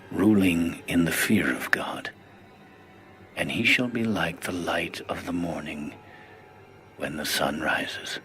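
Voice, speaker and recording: A man with a very deep voice narrates scripture solemnly. His delivery is slow and measured, with faint music underneath. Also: fairly bright tone.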